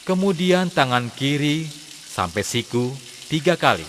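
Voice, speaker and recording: A man's voice: fairly high pitch, sing-song, a little slow, a bit bright, a little hiss or hum. A man playing a patient Islamic teacher in an educational cartoon, explaining step by step in speech. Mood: patient, instructive. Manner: methodical, instructive, enunciated step by step. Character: patient male Islamic teacher instructing young pupils. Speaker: religious teacher. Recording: long hall-like reverb.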